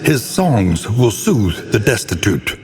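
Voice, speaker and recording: Slow, low, brooding gothic narrator man. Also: big pitch swings, darkish tone, in a large hall. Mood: solemn, proclaiming. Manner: solemn, theatrical, measured, literary diction. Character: grave, brooding, scholarly older male narrator of doom. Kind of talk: scripted gothic video game narration.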